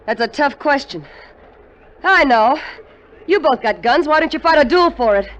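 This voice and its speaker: A woman's voice: sits high, animated, moderate pace, fairly bright tone, lo-fi sound. An American woman playing a cool, greedy, scheming femme fatale with a hard, calculating edge in 1950s radio drama. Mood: coolly scheming. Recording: noisy vintage 1950 radio recording.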